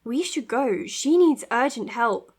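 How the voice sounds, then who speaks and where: A self-doubting young doctor in an audio drama. Her voice is high, and she speaks earnestly and hesitantly, with real care. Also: lively intonation, measured pace, background noise. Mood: uneasy. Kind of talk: naturalistic audio drama acting.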